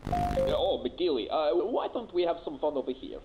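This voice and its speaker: A man's voice: on the high side, very animated, normal speed, warm tone, slight background noise, rough recording. A man playing a flustered, hesitant game show host who stammers. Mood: flustered, coaxing.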